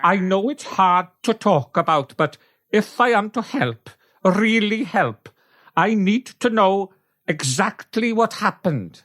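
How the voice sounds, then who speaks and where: Grave, deliberate animal mystic, played by a woman, unhurried and patient, for radio comedy. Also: mid-range voice, lively intonation, a bit bright. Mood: solemn, measured. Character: solemn, patient mystical sheep healer. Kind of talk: comic radio play acting.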